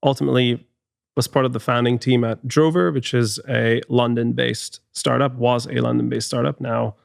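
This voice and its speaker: A man's voice: mid-range voice, fairly even intonation, normal speed, creaky, no echo. A man in his thirties with a Hungarian accent, a guest in a studio podcast interview, speaking thoughtfully with frequent restarts. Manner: thoughtful, many restarts and fillers. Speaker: guest, HR executive.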